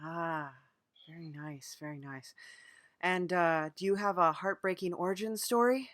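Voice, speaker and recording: Deadpan woman hosting a comedy interview podcast. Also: on the low side, a little slow, no echo, studio quality. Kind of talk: casual improvised comedy interview.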